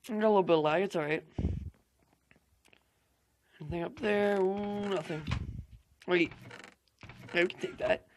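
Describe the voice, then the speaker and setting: A young man hosting a gaming channel, hoarse from losing his voice, rambling through casual gameplay commentary with fillers and swearing. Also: high-pitched, normal speed, long pauses, a little hiss or hum.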